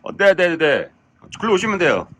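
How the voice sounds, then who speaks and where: Man vlogging casually over clear background noise. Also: sits high, big pitch swings, normal speed, fairly bright tone. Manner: polite, chatty, addressing the audience. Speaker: camping vlogger. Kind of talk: casual narration to viewers while camping alone. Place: winter campsite, outdoors and in a tent. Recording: clear outdoor ambient noise.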